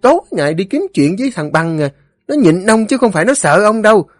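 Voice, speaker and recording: A man's voice: on the high side, sing-song, fast, breathy edge, no room sound, studio quality, faint music behind, voice pushed up. A man voicing a fearless, scolding village wife in an audio story, with a Southern Vietnamese accent. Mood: exasperated, scolding.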